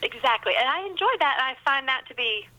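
A woman's voice: high-pitched, lively intonation, quick pace, bright, forceful tone, slight background noise, poor audio. A woman speaking casually as a guest author in an interview, chatty and self-deprecating.

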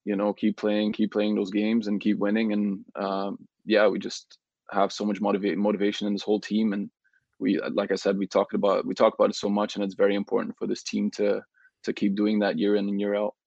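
Low, gravelly man with halting answers. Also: monotone, a little mellow, no room sound. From Belgium. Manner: halting, many restarts and fillers. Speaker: guest soccer player. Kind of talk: casual interview answers. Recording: dull lo-fi remote audio.

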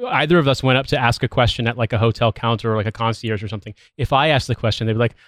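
A man's voice: medium pitch, steady tone, racing, no echo, studio quality. Chatty Midwestern American man. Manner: chatty, rambling with restarts. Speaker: podcast co-host. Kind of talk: casual chat.

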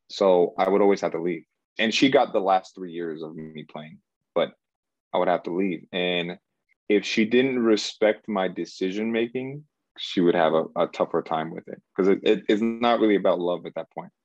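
A man from Cameroon in his thirties, a guest in an interview, talking things through slowly and hesitantly, full of "like" and restarts. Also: deep voice, dry recording, highs cut off. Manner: searching, hesitant, repeats himself and says "like" often. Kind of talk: reflective conversational interview.

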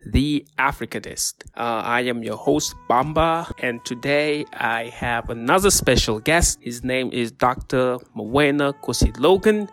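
A man's voice: mid-range voice, a bit bright. Male podcast host introducing a show.

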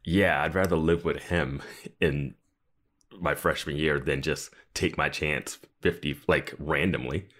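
Deep-voiced young man, joking and laughing. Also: fairly bright tone. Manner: joking, laughs often, quick quips. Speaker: podcast co-host. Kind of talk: casual chat.

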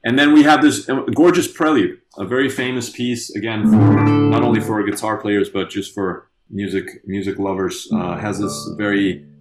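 Guest: young man from Mexico, lowish voice, eager and talkative, stumbles and repeats words. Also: steady tone, moderate pace, few pauses, over loud music, rough recording. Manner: enthusiastic, frequent restarts and repeated words. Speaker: guest composer and guitarist. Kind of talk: casual podcast chat.

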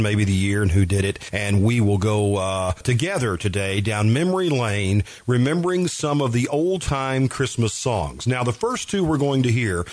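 A man hosting a radio show, chatting casually in a folksy, conversational way as he introduces music. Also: medium pitch. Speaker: radio host.

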